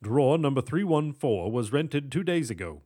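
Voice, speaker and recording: Man playing a blunt, mid-pitched bank manager. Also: big pitch swings, moderate pace, dark tone. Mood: puzzled, brisk. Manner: blunt, incredulous. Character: astonished male bank manager, blunt and wary.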